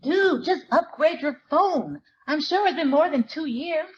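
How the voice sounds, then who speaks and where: Excitable, bossy best friend played by a woman, high and very animated, live stage reading. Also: unhurried, highs cut off. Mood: teasing disbelief. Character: brash, excitable female friend, teasing and bossy. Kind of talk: live dramatic book reading.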